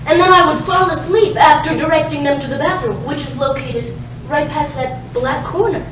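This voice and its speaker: A woman's voice: high voice, normal speed, a bit bright. A woman playing a dramatic, ditzy, sweetly apologetic femme fatale client in a comedic staged noir reading. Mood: innocent. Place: live staged reading in a roomy space. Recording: very echoey noisy room, distant mic.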